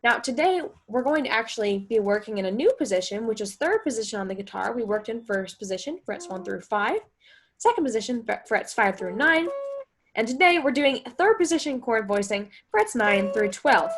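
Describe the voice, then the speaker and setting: A woman's voice: fairly high pitch, lively intonation, normal speed. A woman guitar teacher explaining step by step in a chatty, think-aloud way, as in an instructional music lesson.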